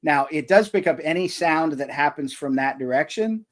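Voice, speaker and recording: Chatty author with a higher voice. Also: male voice, animated. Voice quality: slightly high voice. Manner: chatty, self-deprecating, quick restarts. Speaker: author and audiobook narrator. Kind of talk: casual live-stream chat. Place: home recording setup. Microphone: cheap stand mic in a foam sound box.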